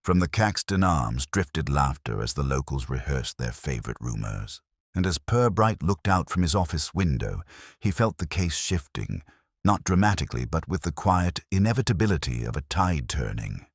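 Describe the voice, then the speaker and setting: A man narrating a mystery story in a measured, literary manner. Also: rumbling low, big pitch swings, breathy edge, dry recording, clean studio sound.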